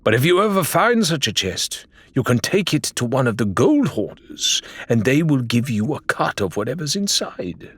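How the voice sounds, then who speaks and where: A man voicing a learned, cautious male pirate who explains things formally and gravely in an audiobook. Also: mid-range voice, very animated, moderate pace, few pauses, a bit bright, pitched above the performer's own voice. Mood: explaining, knowing.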